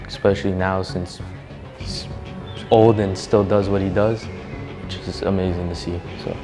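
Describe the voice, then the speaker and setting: A teenage male soccer player giving earnest interview answers with filler pauses. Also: sits low, steady tone, music in the background. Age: teens.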